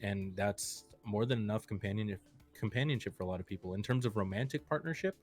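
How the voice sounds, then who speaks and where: A man's voice: fairly low pitch, a little mellow. A man speaking as a guest on a live stream, giving supportive advice in a hesitant, encouraging way. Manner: hesitant, encouraging, many fillers. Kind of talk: casual supportive chat. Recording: faint music behind.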